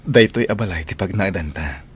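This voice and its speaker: A man's voice: low-pitched, expressive, moderate pace, slight background noise. A man playing a devoted, protective young suitor in a radio drama. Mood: tender, reassuring. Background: loud music.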